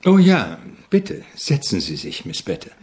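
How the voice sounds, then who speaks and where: An actor playing a weary, courteous and evasive famous composer in a radio play, with a deadpan delivery. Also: male voice, fairly low pitch, a little slow, husky, exaggerated voice.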